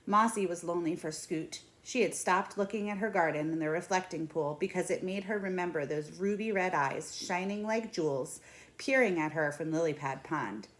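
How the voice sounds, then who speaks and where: A woman in her thirties reading a picture book aloud in an expressive, deliberate way. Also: lowish voice. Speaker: read-aloud storyteller. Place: small indoor room at home.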